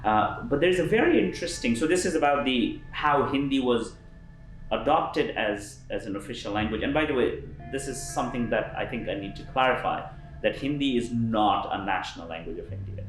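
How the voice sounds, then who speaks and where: A man being interviewed as a linguist, explaining thoughtfully with frequent pauses and restarts and lively emphasis. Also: mid-range voice, roomy sound, soft background music, low-quality recording.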